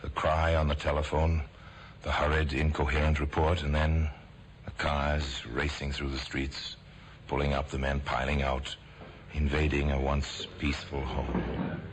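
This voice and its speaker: Very deep-voiced male radio narrator. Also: little pitch movement. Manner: measured and ominous. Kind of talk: scripted dramatic narration. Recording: hissy old radio broadcast.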